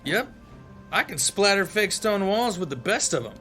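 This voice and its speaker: An actor playing a wry young theater student with an injured hand. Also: male voice, sits high, sing-song, moderate pace, sharp tone, faint music behind, heavily acted. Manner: wry, joking.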